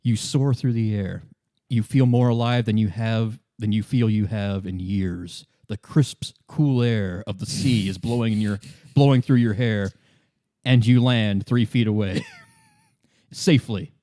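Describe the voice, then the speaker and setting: A man running a tabletop game as game master, improvising his narration in a casual, halting, good-humored way. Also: fairly low pitch, warm tone, no room sound, studio quality. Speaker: game master, podcast host.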